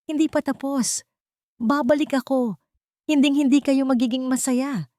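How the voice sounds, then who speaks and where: A woman with a Filipino accent voicing a spiteful, envious female villain in an audiobook, shrill and venomous. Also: steady tone, moderate pace, warm tone, no room sound, clean studio sound, lightly acted. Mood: defiant fury.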